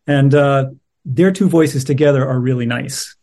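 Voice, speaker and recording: A middle-aged man talking as an interviewed playwright, low-key and casual, a little halting. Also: mellow. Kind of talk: casual chat.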